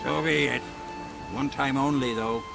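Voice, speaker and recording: A man's voice: mid-range voice, steady tone, a little slow, gravelly, over music. An actor playing a proud, wary male villager in a video game who talks colloquially, like a practiced storyteller. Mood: wary, haggling. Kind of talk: video game cutscene acting.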